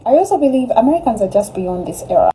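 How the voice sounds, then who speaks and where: A woman's voice: on the high side, sing-song, fast, mellow, slight background noise. A young woman who grew up in Uganda, giving a blunt, emphatic opinion as a student in a social media video.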